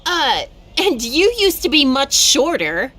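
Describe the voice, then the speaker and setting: A woman's voice: high voice, very animated, slow pace, bright, forceful tone, heavily acted. An actress playing a sassy, short-tempered young woman in an anime video game. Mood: incredulous. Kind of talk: English anime game voice acting.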